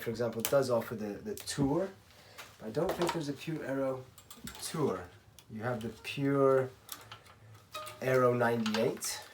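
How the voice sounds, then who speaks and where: Guy from spain doing a casual how-to, kinda rambly, clears his throat, clicky clattery workshop noise behind him. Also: mid-range voice. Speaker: racquet stringer giving a tutorial. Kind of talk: casual hands-on tutorial talk.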